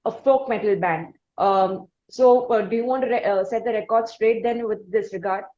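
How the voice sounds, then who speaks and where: A woman with an Indian accent hosting a music interview, asking long, halting questions that she rephrases as she goes. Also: on the high side, warm tone, dull audio. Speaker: interviewer, podcast host.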